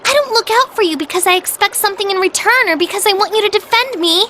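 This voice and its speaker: An actress voicing a caring, polite teenage younger sister in a video game, with an earnest, proper way of speaking. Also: female voice, very high-pitched, animated, fast talker, sharp tone, a little hiss or hum, heavily acted. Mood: upset, pleading. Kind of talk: video game cutscene voice acting. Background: trickling water ambience.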